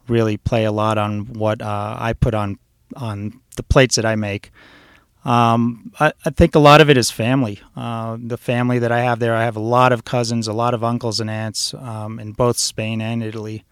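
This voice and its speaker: A man in his forties talks casually as a guest, his voice smooth and resonant. He rambles through stories in a relaxed way, full of ums and uhs. The sound is dry and close. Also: medium pitch, dry recording. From born in Switzerland, raised in New York City. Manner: relaxed, rambling storyteller with frequent fillers. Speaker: chef guest. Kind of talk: casual interview talk.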